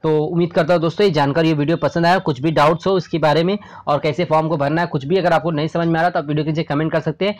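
Higher-pitched Indian man explaining to viewers. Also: steady tone, racing, hardly any pauses. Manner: repetitive, addresses viewers as friends. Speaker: host of a government job news channel. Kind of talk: informal explainer narration over a screen recording.

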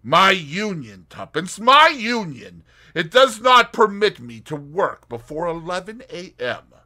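A man's voice: high voice, very animated, measured pace, pushed and bright, pitched above the performer's own voice, full character voice. A man reading an audiobook, voicing a cheerful young man with a bantering, mock-pompous wit. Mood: teasing.

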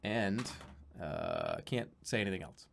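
A man in his thirties chatting casually on a live stream from a small room at home, reading out donations, rambling and swearing, sometimes breaking into excited yelling. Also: low-pitched, measured pace, creaky. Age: 30s.

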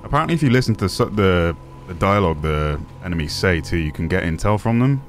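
A young man with a deep voice giving casual gameplay commentary as a streamer, relaxed with excited asides. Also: fast talker. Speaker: gaming streamer.

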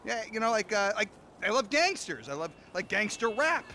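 Traffic noise surrounds a manic, sleazy celebrity-obsessed man with an extremely high, swinging voice. He rants and stammers, jittery and excitable, in a video game cutscene. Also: moderate pace, exaggerated voice. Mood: nervous, backtracking. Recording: game scene, noisy traffic background.

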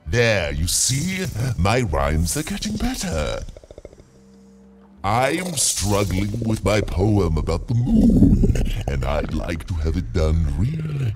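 Pompous touchy game villain mayor, super swoopy theatrical delivery, music behind. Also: male voice, low-pitched, a little mellow, full character voice. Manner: theatrical, pompous, halting attempts at rhyme. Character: pompous, touchy, scheming upside-down mayor who rhymes badly. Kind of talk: video game character acting.

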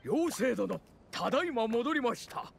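アニメ調ゲームで、男性声優が演じる折り紙動物の年老いた長老。古風で丁寧な、おじいちゃんらしい優しい話し方。. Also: male voice, very high voice, very animated, a little slow, vocal fry, background noise, exaggerated voice. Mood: reporting, polite. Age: older. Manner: courtly, old-fashioned, grandfatherly. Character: kindly old male origami animal village elder. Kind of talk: anime video game voice acting.

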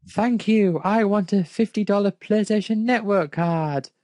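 A man voicing a rude, hot-headed male robot fast-food worker in a fan fiction reading. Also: high-pitched, warm tone, dry recording, pitched above the performer's own voice. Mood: demanding.

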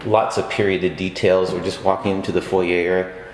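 Rental agent, male, very low voice, halting unscripted walkthrough, bare echoing rooms, noisy camera mic. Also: level tone. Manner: halting, with frequent uh fillers and restarts. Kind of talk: unscripted property tour narration. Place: empty apartment, indoors, walking room to room. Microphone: camera mic on a handheld camera. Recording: echoey empty rooms with background noise.

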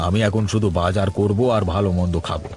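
A man's voice: low-pitched, fairly even intonation, quick pace, a little mellow, soft background music, close to an everyday voice. An actor voicing an elderly village father and grandfather in a cartoon story, folksy and talkative. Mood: stubborn, cheerful. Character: elderly poor father and doting, carefree grandfather.

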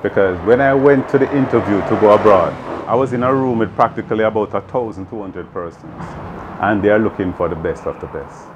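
Jamaican math teacher in his fifties, very low voice, earnest and explanatory, light background noise outdoors. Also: fairly quick, muffled recording. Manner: earnest, explaining with many "you know"s. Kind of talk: interview answers. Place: open veranda outdoors.

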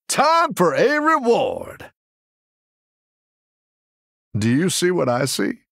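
Folksy, husky, animated tavern keeper. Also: male voice, on the high side, no room sound, studio quality, exaggerated voice. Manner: warm, folksy, encouraging. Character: friendly, encouraging tavern keeper who tends little dragons. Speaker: video game character voice actor. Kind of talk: game character voice lines.

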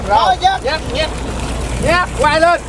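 A man's voice: extremely high pitch, a little slow, over a noisy crowd. A man, a fisherman on a boat at sea, calling out and urging his crew. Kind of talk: shouted calls while fishing. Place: outdoors on a fishing boat at sea.

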